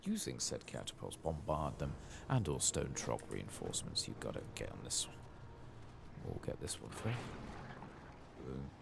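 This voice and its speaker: A man giving soft-spoken, partly whispered ASMR commentary while he plays a game, casually muttering to himself. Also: a bass voice, very animated, moderate pace, creaky, noisy background. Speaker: gaming streamer.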